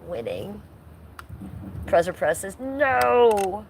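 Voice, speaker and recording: A woman's voice: medium pitch, sing-song, drawn-out words, vocal fry. A woman in her fifties chatting casually on a livestream, teasing and sassy as she talks back to her viewers. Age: 50s. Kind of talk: casual livestream chat, reading and answering viewer comments. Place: outdoors in a yard by a fire. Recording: noisy live stream with ambient noise.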